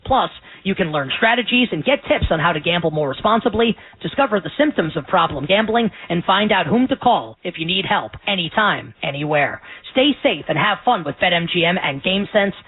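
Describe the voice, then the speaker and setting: A man's voice: high-pitched, animated, a little fast, few pauses, breathy edge, no echo. An American man reading a scripted advertising disclaimer as an announcer. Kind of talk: rapid advertising disclaimer read. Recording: narrow-band radio advertisement.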